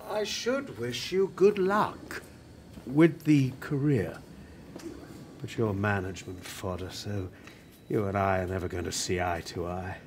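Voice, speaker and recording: A man's voice: on the low side, unhurried, lightly acted. Guy acting proud old working class writer in a radio play, dying, takes his time, wry and pointed, bit of noisy ambience. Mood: guarded, bitter. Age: older.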